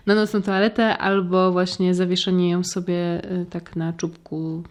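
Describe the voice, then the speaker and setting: A woman's voice: on the low side, flat delivery, unhurried. A woman co-hosting a podcast, talking in a casual, conversational style. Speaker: podcast co-host. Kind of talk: casual chat.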